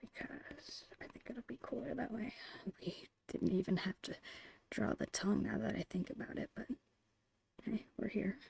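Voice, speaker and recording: A woman murmuring casual ASMR painting commentary in a whispery, creaky voice, unhurried and thinking aloud, close to the mic. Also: medium pitch, darkish tone. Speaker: ASMR digital art streamer. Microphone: close microphone, dry.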